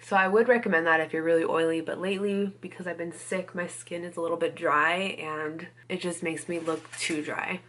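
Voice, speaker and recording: A woman's voice: fairly low pitch, steady tone, brisk, few pauses, a bit bright. A woman chatting casually while doing her makeup, still a little congested from a cold, with lots of "like" and "you guys". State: recovering from a cold, a bit congested. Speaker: beauty vlogger. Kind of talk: casual get-ready-with-me chat.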